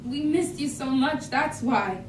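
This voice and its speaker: An actress in a staged reading playing a grieving, yearning daughter, with a pleading, hesitant way of speaking. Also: female voice, high-pitched, animated, unhurried. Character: yearning, grieving daughter desperate to reach dead mother. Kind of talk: staged reading, dramatic dialogue. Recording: echoey room, distant mic, faint noise.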